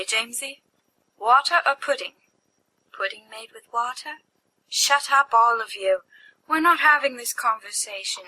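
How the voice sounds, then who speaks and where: Bright, high-voiced woman acting out fiction. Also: rough recording. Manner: expressive, playful, acting out giddy young men. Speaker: amateur podfic reader. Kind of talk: animated dramatized fiction reading with character voices. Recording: home recording with faint background noise.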